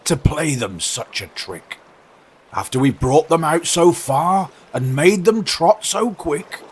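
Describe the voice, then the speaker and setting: A man voicing a pompous, unctuous, falsely sorrowful walrus in a storybook poem, with a slow, grandly drawn-out delivery. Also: fairly high pitch, animated, breathy edge, voice pushed up, heavily acted.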